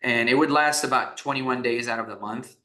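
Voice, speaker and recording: A man's voice: mid-range voice, fairly quick, pushed and bright. A man from Iraq, a business founder, talking as a guest in a podcast interview, telling his story with many restarts and fillers. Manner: rambling, frequent restarts and fillers. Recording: echoey room.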